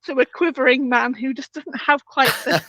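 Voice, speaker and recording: A woman's voice: sits high, fairly even intonation, moderate pace, pushed and bright, dry recording. A woman interviewer chatting enthusiastically in an effusive, giggly, rambling way.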